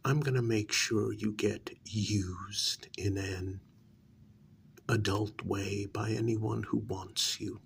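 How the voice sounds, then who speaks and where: Vengeful male vampire , performed by a man, ASMR role-play. Lowish, breathy, quietly threatening, very unhurried delivery, faint noise behind. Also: fairly even intonation. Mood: sadistic menace. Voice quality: breathy half-whisper with gravelly fry. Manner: slow, deliberate, quietly threatening with drawn-out pauses. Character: cold, vengeful male vampire lord, menacing and cruel.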